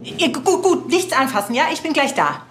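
A woman playing a brisk, matter-of-fact forensic pathologist in a TV crime comedy, with a dry manner. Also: sits high, sing-song, noisy background.

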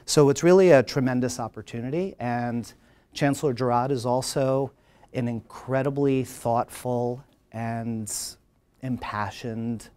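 A middle-aged man with a New Jersey accent stands before a lecture hall and introduces the next speaker. His delivery is earnest and animated, in a middle-pitched voice, picked up on a lapel mic. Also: unhurried, clean tone. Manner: earnest, animated, gesturing while talking. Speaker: college dean introducing a speaker. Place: large lecture hall, indoors.

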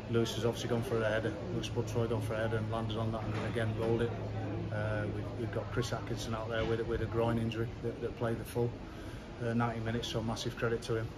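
Flat-voiced football manager, crowd noise. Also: male voice, lowish voice, poor audio. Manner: measured, halting, many restarts and fillers. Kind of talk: post-match interview. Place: outdoors in a covered stadium stand.

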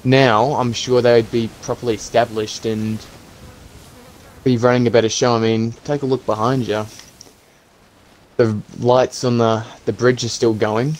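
A man playing a practical, hopeful zombie apocalypse survivor in an improvised game roleplay, talking in a halting, conversational way. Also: medium pitch, little pitch movement, darkish tone, a little hiss or hum, lightly acted, rough recording. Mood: hopeful, reassuring.

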